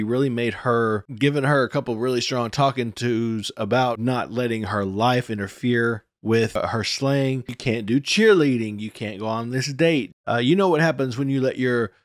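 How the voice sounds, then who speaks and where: A man's voice: medium pitch, no echo. A man in his forties giving casual commentary on an episode as a show host, close to a desk microphone. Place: small indoor room.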